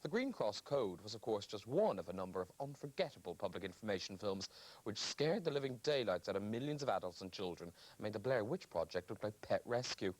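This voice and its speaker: A man's voice: on the low side, very animated, brisk, slight background noise, poor audio. A man reading wry scripted narration for a television documentary.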